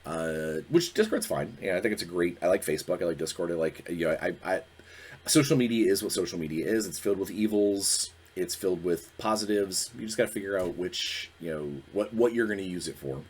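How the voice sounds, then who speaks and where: Deep-voiced earnest man in his forties. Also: a little mellow, husky. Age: 40s. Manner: earnest, reflective, halting. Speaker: podcast host. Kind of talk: candid solo talk.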